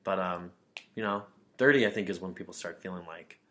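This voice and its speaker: A man's voice: low voice, a little fast, a bit bright. A man in his twenties co-hosting a podcast in casual chat, teasing his friend, swearing casually and laughing as he talks. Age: 20s. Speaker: podcast co-host.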